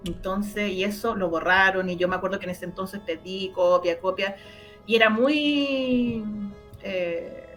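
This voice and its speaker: A woman's voice: medium pitch, unhurried, fairly bright tone, faint music behind. A Chilean woman answering interview questions conversationally, emphatic and repeating phrases for stress. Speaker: interviewed radio drama creator.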